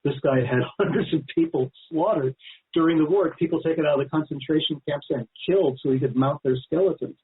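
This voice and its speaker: A man's voice: a little high, brisk. A man speaking as a guest author in a radio interview, explaining at length in a conversational, emphatic and digressive way. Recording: narrow-band phone-like line.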